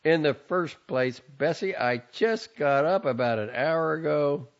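A man's voice: medium pitch, big pitch swings, normal speed, pitched above the performer's own voice. A man reading aloud and voicing a sarcastic, short-tempered young man who swears and mocks. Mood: irritated. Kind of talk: audiobook character voice. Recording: dull lo-fi recording.

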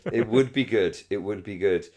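A man's voice: lowish voice, brisk, a crowd in the background. A man co-hosting a casual discussion, rambling and opinionated as he thinks aloud. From Britain. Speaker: podcast co-host. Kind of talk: casual discussion of a radio drama.

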